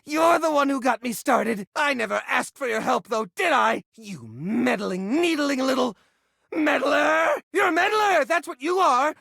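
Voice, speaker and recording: A man's voice: very high-pitched, animated, a little slow, gravelly, pushed and bright, no echo, studio quality, exaggerated voice. A man playing a neurotic, possessive hoarder, a junk drawer come to life in a video game, with a theatrical, whiny, defensive delivery. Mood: bitter, accusing. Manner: theatrical, whiny, defensive, stammering when upset. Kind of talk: video game character voice acting.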